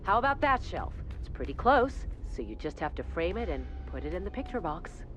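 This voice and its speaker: A bossy flirty tv reporter gal in a game, voice swings around a lot, lowish, music under. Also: female voice, very animated, long reverb tail. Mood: bossy, eager. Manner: brisk, bossy, teasing. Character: pushy flirtatious ambitious female TV news reporter. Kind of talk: video game cutscene voice acting. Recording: music behind the voice.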